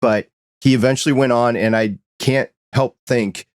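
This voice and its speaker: A middle-aged man with a Midwestern American accent hosting a podcast, friendly and animated as he tells stories. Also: mid-range voice, moderate pace, no room sound, studio quality. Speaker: podcast host. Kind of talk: casual conversation.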